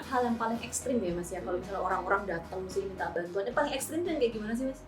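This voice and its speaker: A woman from Semarang with a Central Javanese accent, chatting quickly with a guest as a vlogger. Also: mid-range voice, expressive, far from the mic. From Semarang, Central Java. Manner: chatty, quick, laughing asides. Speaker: vlogger, co-host. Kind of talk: casual chat with a guest. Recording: roomy indoor sound with music underneath.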